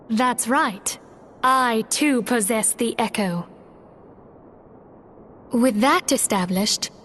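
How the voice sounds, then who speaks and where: A woman playing the serene, earnest leader of a secret order in a fantasy video game, with poised, solemn, archaic phrasing. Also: fairly high pitch.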